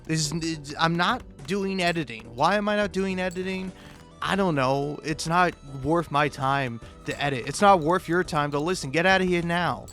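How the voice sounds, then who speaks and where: A man improvising a self-deprecating comic monologue for a gaming video, rambling and halting, often interrupting himself and swearing casually. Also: a little high, fairly bright tone, soft background music. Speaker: video game YouTuber.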